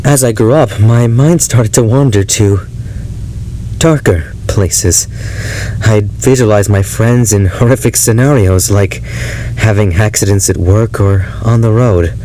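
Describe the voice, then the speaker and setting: An actor playing an unhinged, obsessive ex-lover confessing darkly in an audio drama monologue, halting and brooding. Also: male voice, on the low side, warm tone, slight background noise, close to an everyday voice, rough recording. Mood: brooding, haunted. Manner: halting, brooding, breaking into unhinged laughter and intensity.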